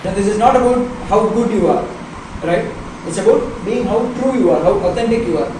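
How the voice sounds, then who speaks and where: Male acting coach, high-pitched voice, instructing a group and checking they follow, heavily reverberant room. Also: animated, a little fast. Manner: checks understanding with tag questions. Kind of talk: workshop teaching to actors. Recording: very echoey, distant mic, noisy room.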